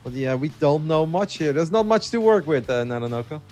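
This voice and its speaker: A man's voice: on the high side, expressive, warm tone, soft background music. Upbeat man hosting a poker show. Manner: upbeat, brisk player introductions. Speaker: host. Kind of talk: poker broadcast presenting.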